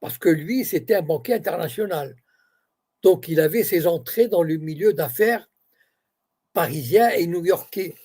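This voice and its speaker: A man's voice: a little high, lively intonation, moderate pace, mellow, breathy edge. A man from Morocco whose first language is Arabic, answering questions as an interview guest and explaining point by point with many restarts.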